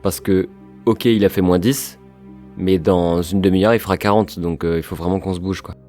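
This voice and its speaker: A man's voice: low-pitched, fairly even intonation, moderate pace, a little mellow, soft background music. A man playing in a tabletop game, easygoing and rambling as he says what his character does. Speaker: player. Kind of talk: casual tabletop roleplay.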